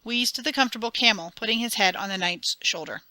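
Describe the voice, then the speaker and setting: Bright, crisp woman narrator. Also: fairly high pitch, brisk, no echo. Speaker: audiobook narrator. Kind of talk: dramatic storybook reading.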